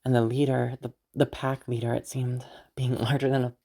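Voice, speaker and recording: Young noblewoman for audio roleplay played by a woman: very deep for a female voice, gravelly with heavy fry, fond, teasing, hesitant. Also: level tone, full character voice. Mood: somber, hesitant. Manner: hesitant, halting, with soft chuckles and sighs. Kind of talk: roleplay audio drama, intimate conversational acting.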